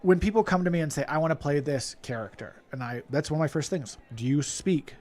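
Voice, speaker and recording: A man co-hosting a podcast, telling animated stories about playing characters at a festival and laughing often. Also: on the high side, a little fast. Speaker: podcast co-host and festival performer. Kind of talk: casual podcast chat.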